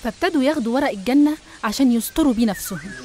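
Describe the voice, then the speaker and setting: A woman with an Egyptian accent narrating a scripted animated story. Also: on the high side, racing.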